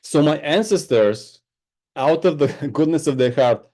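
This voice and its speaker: Bulgarian-born man, warm, mellow voice. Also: fairly high pitch, expressive, unhurried. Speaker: guest, spiritual teacher. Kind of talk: podcast interview.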